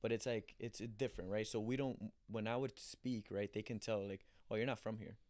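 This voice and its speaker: A young man from Colombia, a former pro soccer player, telling animated stories in a casual interview, acting out quotes and restarting often. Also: lowish voice, a little fast, no room sound, studio quality. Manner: animated storyteller, acts out quotes, frequent restarts.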